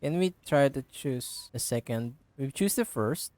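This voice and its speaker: A man giving casual, halting game playthrough commentary, partly reading on-screen text aloud. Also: medium pitch, lively intonation, a little mellow, no room sound. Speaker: gaming streamer.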